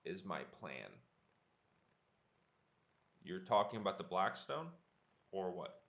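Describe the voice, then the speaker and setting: A man teaching English on a livestream, speaking deliberately and repeating words for learners. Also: sits low, lively intonation, a bit bright, muffled recording. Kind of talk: live online language lesson, answering viewer chat. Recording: echoey room.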